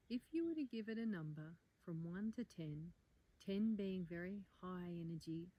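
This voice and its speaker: Mellow, low-voiced woman guiding a meditation. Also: rough recording. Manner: calm, gentle, inviting, measured with pauses. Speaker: meditation teacher, guide. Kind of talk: guided meditation, soft slow instruction.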